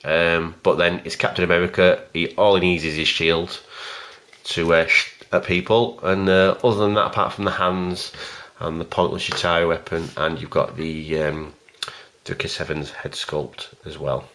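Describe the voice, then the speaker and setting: A man giving a casual, rambling video review of a collectible figure in a plain, even voice, stopping often for ums and uhs. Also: sits low, moderate pace. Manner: rambling and hesitant, many fillers.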